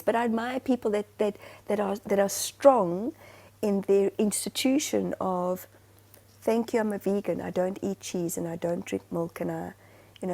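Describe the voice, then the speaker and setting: A woman from Zimbabwe talking casually as a podcast co-host, rambling and animated with many restarts. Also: mid-range voice, gravelly, husky. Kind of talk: casual chat.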